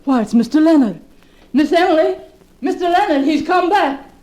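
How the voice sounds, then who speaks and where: A wary old servant woman calls out in a high, mellow voice. The old-time radio drama recording is echoey. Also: animated, moderate pace. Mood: wary, amazed.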